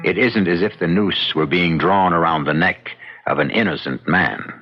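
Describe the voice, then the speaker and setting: A man hosting a radio mystery as a brooding storyteller, deliberate, philosophical and ominous. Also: low voice. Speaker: host and narrator. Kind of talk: dramatic radio narration. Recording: dull radio recording with faint music.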